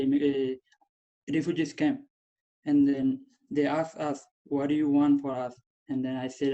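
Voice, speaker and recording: Young guy, english not his first language, flat level delivery, keeps restarting, kinda muffled lo-fi sound. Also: a little high. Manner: halting, repeats and restarts phrases. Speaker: graduating student telling his story. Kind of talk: personal testimony. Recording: dull lo-fi sound.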